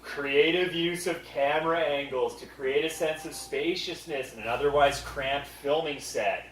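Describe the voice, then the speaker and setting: A man's voice: fairly high pitch, a little slow, a bit bright, a little hiss or hum. A man presenting a comedy panel as a host, mock-serious and over-emphatic. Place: convention panel room. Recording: echoey room, far from the mic.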